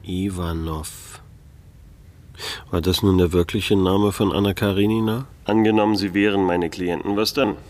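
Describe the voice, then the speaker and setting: Man playing low-voiced weary private detective. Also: fairly even intonation, moderate pace, darkish tone, close to an everyday voice. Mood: tired, sceptical. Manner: dry, terse, laconic, sceptical. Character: weary, wary male private detective, narrator and hero. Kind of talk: German radio play acting with first-person narration.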